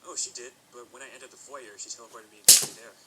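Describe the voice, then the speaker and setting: A man's voice: medium pitch, fairly even intonation, very fast, lightly acted. A man voicing an easygoing, teasing male pony. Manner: teasing, laid-back. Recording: noisy amateur recording.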